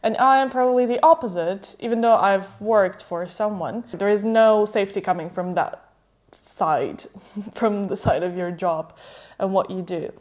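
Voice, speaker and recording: A woman's voice: medium pitch, moderate pace, few pauses, a little mellow. Ukrainian woman with American-sounding accent. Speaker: podcast host. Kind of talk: casual chat with partner.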